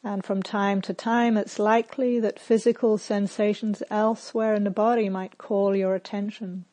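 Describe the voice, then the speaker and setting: A woman meditation teacher leading a guided meditation in short, measured phrases with long pauses. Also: mid-range voice, level tone, mellow.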